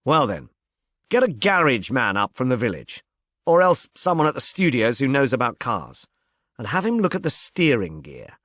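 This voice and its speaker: A man's voice: mid-range voice, sing-song, normal speed, fairly bright tone, dry recording, clean studio sound. A man playing a clever, complacent professor turned sleuth, clipped and commanding, in a mystery audiobook. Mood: alert. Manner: clipped, commanding, self-satisfied. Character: clever professor, brusque, complacent amateur detective. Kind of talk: audiobook character voice, British mystery novel.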